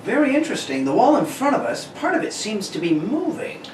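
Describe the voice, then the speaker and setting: A courteous, curious secret-agent hero, played by a man, high and animated, narrating his discoveries like an old radio serial. The recording is roomy, distant and noisy. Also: fairly quick. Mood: curious, assured. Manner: courteous, narrates what he sees aloud. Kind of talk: amateur read of a 1940 radio serial. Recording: echoey room, distant mic, clear noise.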